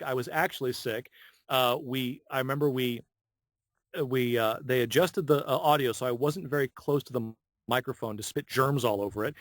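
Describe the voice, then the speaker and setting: A man of average pitch talks casually as an interview guest. He is a voice actor and tells his stories in an animated way, voicing the people he quotes. The sound is dry, with very little room echo. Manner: animated storyteller, acts out quoted dialogue. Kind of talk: casual anecdotal interview talk.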